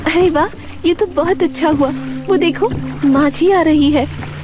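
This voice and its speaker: A man's voice: very high-pitched, measured pace, music in the background, voice pushed up. A man voicing a cheerful, curious young village woman in love in an audio horror story. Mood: excited.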